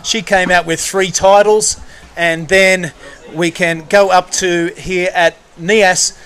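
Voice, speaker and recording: A man's voice: high voice, steady tone, slow, fairly bright tone, clean tone. A man hosting live surf coverage in a casual, matey, enthusiastic way. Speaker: surf broadcast commentator and host. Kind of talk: casual live sports commentary.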